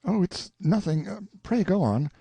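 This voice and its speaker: A man voicing a wary, startled young gentleman in an audiobook, speaking hesitantly and uneasily. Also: sits high, lively intonation, moderate pace, creaky, husky, no echo, pitched above the performer's own voice, exaggerated voice. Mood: uneasy. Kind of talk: audiobook character reading.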